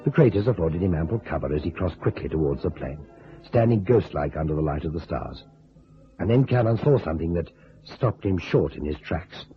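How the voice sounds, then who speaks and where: Male narrator, deep voice, animated intonation, dramatic scripted storytelling, dull vintage radio sound. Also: brisk. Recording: band-limited old radio recording with music.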